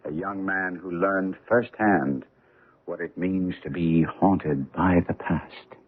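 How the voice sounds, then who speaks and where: A man playing a shrewd, composed gentleman detective in a radio mystery drama, speaking in measured, assured phrases. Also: low voice. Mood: brisk, assured. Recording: muffled old radio broadcast, faint phone-like band.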